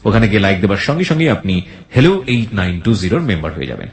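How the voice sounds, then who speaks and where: A man's voice: on the low side, very animated, racing. A man with a Bangladeshi accent presenting a radio show to listeners, mixing English phrases into his talk. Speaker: radio host. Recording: echoey, reverberant voice.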